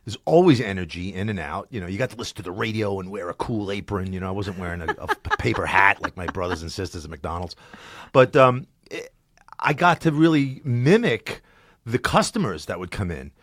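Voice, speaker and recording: A man with a slight cold, chatting as a podcast guest in a studio, telling animated stories and laughing often. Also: lowish voice, brisk, no echo. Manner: animated storyteller, laughs often, slips into voices. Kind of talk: casual interview chat.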